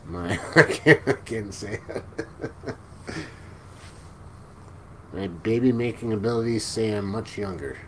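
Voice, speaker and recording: A man in his forties hosting a casual live stream, chatting with viewers in a relaxed, joking way. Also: lowish voice, takes it slowly, long pauses. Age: 40s. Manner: laid-back, joking, chuckles often. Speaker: livestream host. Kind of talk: casual live chat reading viewer comments. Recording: noticeable background noise.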